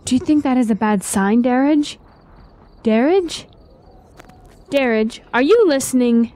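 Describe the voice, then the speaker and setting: A woman's voice: high voice, expressive, measured pace, warm tone. A woman playing an anxious, devoted young-world fantasy queen in a radio drama, speaking earnestly and with worry. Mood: anxious, pleading. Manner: earnest, worried.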